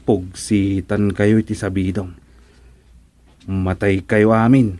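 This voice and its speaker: A man playing a brooding, muttering villager in a radio comedy drama, speaking deliberately. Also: fairly low pitch, little pitch movement, mellow. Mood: menacing.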